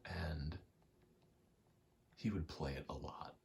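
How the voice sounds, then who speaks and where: A man in his twenties doing a casual ASMR gaming ramble, soft-spoken and often whispering, in a halting, meandering way. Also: extremely low pitch, creaky. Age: 20s. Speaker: ASMR gaming video host. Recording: faint noise, game button clicks. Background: controller clicking, faint background noise.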